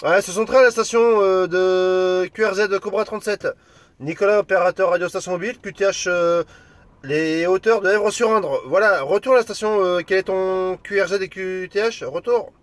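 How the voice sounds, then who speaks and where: A man's voice: high voice, steady tone, moderate pace, no room sound. A man from France working as an amateur radio operator, making radio calls and chatting in a friendly, informal, hesitant way. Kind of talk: radio calls and casual chat.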